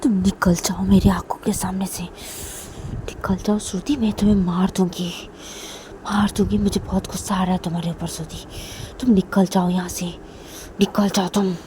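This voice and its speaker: A woman voicing a furious young woman who shouts and sobs at the man she is ordering out. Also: medium pitch, level tone, normal speed, slight background noise, lightly acted. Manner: shouting, pleading, tearful.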